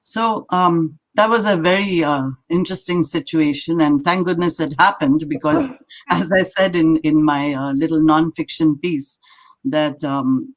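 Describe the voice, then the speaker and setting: An older woman from India with an Indian accent, a native Marathi and English speaker, telling stories as an interviewed poet in a thoughtful, halting, lighthearted way. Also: low-pitched, poor audio. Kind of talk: reflective conversational storytelling.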